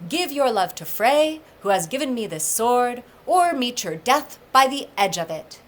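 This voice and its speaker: Woman acting a loud, menacing young male spell-caster. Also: a little high, big pitch swings, a little slow, pitched above the performer's own voice. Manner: declamatory, threatening, archaic speech. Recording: clear background noise.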